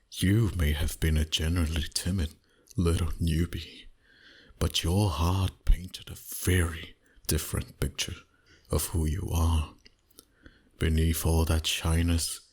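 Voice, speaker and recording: Man playing a very low, breathy seductive vampire boss. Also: heavily acted. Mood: teasing, seductive. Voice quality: breathy, husky, often dropping to a whisper. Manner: slow, intimate, teasing. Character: dominant, flirty, seductive male vampire boss. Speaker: voice actor. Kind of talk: flirty ASMR roleplay. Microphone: close microphone.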